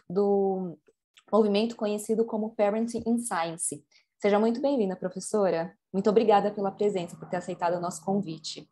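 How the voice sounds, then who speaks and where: A woman's voice: medium pitch, mellow. A woman co-hosting a podcast who introduces the guest in a casual, slightly hesitant way. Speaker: podcast co-host.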